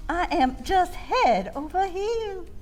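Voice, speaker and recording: Lovestruck young daughter voiced by a woman, gushing, swooning, slow. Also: extremely high pitch, animated, faint music behind, pitched above the performer's own voice, full character voice.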